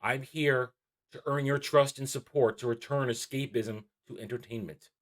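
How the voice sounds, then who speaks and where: Guy youtuber doing opinion commentary, exasperated, repeats himself, leans hard on words, mid pitched voice. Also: steady tone. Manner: exasperated, emphatic, repeats phrases with drawn-out stresses. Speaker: YouTube channel host. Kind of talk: opinionated commentary vlog on cartoons and comics.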